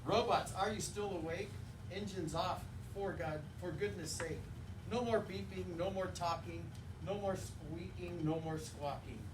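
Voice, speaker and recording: A man reading a picture book aloud to children as their teacher, in a playful, rhythmic way with pauses for them to join in. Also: high-pitched. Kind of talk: expressive children's read-aloud. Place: indoor classroom, recorded from a distance. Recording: distant, echoey, noisy room recording.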